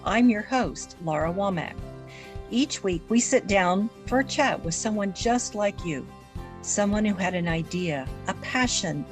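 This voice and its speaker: Woman hosting, faint music under. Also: medium pitch. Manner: friendly, encouraging questions. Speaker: podcast host. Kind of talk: podcast interview.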